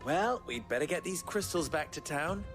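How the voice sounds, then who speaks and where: An actor playing a suave, silver-tongued fixer in a video game, eloquent and wry. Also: male voice, on the high side, expressive, normal speed, fairly bright tone, soft background music. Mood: wry, courteous. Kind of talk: theatrical video game acting.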